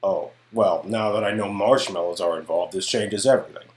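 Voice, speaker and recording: A man's voice: on the low side, normal speed, lightly acted. A man playing skeptical, sardonic friends in an amateur reading of game dialogue, exclaiming and sometimes hesitant.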